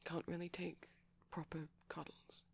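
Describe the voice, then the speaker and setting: Give a hushed, creaky male voice for a shy, gentle vampire boyfriend played by a man. He rambles tenderly and hesitantly in ASMR style, often dropping to a whisper. The tone is breathy and a little husky. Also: fairly high pitch, monotone, normal speed. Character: shy, gentle pastel vampire boyfriend showing off plush toys. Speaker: ASMR roleplay performer.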